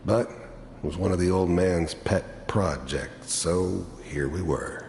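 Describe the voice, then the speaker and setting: An actor playing a cynical, hardened middle-aged mercenary in a video game, with a gravelly voice and a wry, world-weary way of confiding. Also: male voice, slow, darkish tone. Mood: wry, resigned. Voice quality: gravelly, very deep. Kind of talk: video game character monologue. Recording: echoey hall reverb with background noise.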